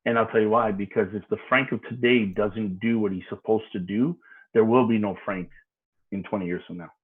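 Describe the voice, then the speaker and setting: Animated man in his forties, slightly muffled. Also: on the low side, brisk. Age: 40s. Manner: animated, emphatic, laughs readily. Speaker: podcast guest, business mentor. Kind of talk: casual podcast conversation.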